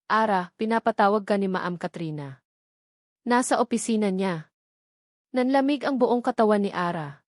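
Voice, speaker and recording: A woman's voice: mid-range voice, darkish tone, no room sound. Filipino woman narrating in a dry recording. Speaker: story narrator. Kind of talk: scripted dramatic love story narration.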